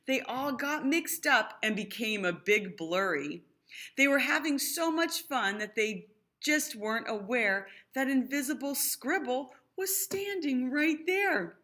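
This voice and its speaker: A woman's voice: high-pitched, expressive, measured pace, bright, forceful tone. A woman reading a picture book aloud to young children, expressively, with explanatory asides. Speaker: storybook reader.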